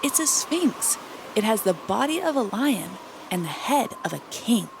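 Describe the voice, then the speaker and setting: A proud, friendly boy guide read by a woman in a children's storybook. The voice is fairly high and welcoming, as if showing a guest around, on a noisy recording. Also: big pitch swings, unhurried. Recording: clearly noisy background.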